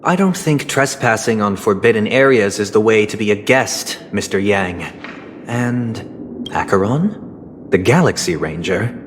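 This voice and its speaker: An actor playing a polished, haughty young host in a video game, formal and icily courteous. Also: male voice, fairly low pitch, animated, unhurried, long reverb tail, slight background noise. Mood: coolly reproachful. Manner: formal, polite but reproachful.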